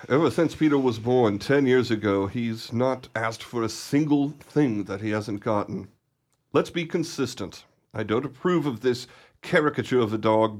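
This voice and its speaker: A man playing a wealthy, indulgent father in a radio play, resigned, measured and formal. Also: medium pitch, darkish tone, close to an everyday voice.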